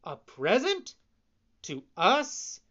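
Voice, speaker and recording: A reader voicing a sly, smooth-talking fox swindler in an audiobook, persuasive and coaxing. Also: medium pitch, huge pitch swings, extremely slow, a bit bright, muffled recording, voice pushed up, full character voice. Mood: mock-offended. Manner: persuasive, coaxing, mock-offended. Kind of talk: audiobook reading.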